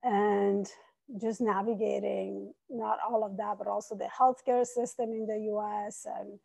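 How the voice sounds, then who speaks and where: Woman in her 40s, grew up in iran and italy, kinda gravelly, soft-spoken, telling her story earnestly. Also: medium pitch, flat delivery, a little slow, rough recording. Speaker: coach, podcast guest. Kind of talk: personal storytelling in interview.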